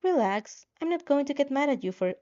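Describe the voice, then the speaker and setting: A woman's voice: high-pitched, lively intonation, a little fast, dark tone, highs cut off, voice pushed up. A woman voicing a controlling but casual game-like system, matter-of-fact and slightly teasing in a fan fiction reading. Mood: offhand, controlling.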